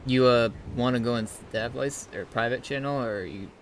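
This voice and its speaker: A man's voice: medium pitch, normal speed. A man in casual online voice chat, talking as a game server staff member.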